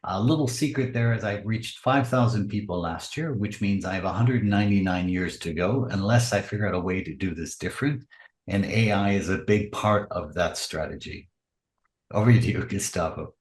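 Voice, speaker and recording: A man's voice: fairly low pitch, normal speed, reverberant. A man introducing himself as an entrepreneur in a webinar, upbeat and wry. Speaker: entrepreneur and author, webinar co-host.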